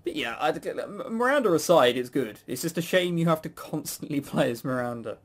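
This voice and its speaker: A man's voice: fairly high pitch, lively intonation, fairly quick. A man hosting a casual chat about games, with a sarcastic, mock-grumbling manner. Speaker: podcast host. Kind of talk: casual chat reviewing visual novels.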